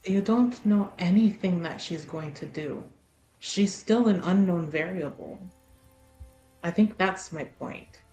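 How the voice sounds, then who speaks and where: Slow, low-voiced woman in a roomy space. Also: dark tone. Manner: measured, deliberate, pausing between phrases. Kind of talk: narrating and speaking in character in a tabletop roleplaying game. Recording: roomy echo, faint music behind. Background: faint music.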